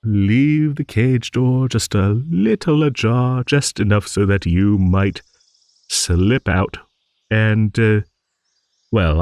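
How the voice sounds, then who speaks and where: A man voicing an ancient, genial dragon in an improvised fantasy audio roleplay, rambling and musing like a refined, hesitant old gentleman. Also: on the low side, no room sound, clean studio sound.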